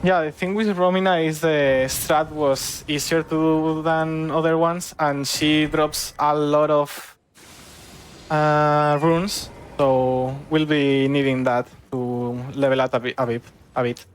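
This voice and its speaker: A man with a Spanish accent talks through his own game run very slowly, pausing and restarting as he looks for the right English words. His voice is mid-pitched, with a faint hum of noise behind it. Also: steady tone. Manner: unhurried, halting, searches for words. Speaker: speedrunner. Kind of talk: casual game commentary.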